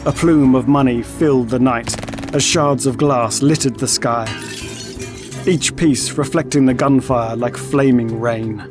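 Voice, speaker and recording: A man giving scripted, dramatic narration for an audio story in a measured way. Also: medium pitch, steady tone. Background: music and sound effects.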